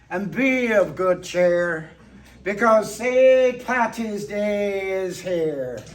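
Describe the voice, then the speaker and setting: Slow, high-pitched man giving theatrical toasts from afar. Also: a bit bright. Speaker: monologue performer. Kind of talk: theatrical toast-making monologue. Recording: distant microphone.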